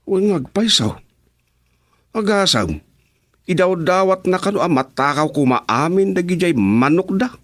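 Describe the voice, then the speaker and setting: A man's voice: high-pitched, expressive, unhurried, long pauses, a bit bright, no room sound. A man with an Ilocano Filipino accent playing a chatty, agreeable villager in a radio comedy drama.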